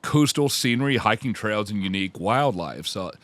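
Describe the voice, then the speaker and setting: A man's voice: fairly low pitch, lively intonation, moderate pace, fairly bright tone, dry recording. A man with a Kentucky accent hosting a casual travel podcast, rambling a little and reading facts off his computer. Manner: rambling, restarts, reads facts aloud. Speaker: podcast host. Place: home theater room.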